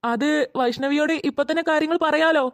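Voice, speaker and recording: A performer voicing a good-natured family man in a cartoon, with a pitched-up, childlike sound. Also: fairly quick, no room sound, natural voice. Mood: anxious. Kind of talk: Malayalam family cartoon dialogue.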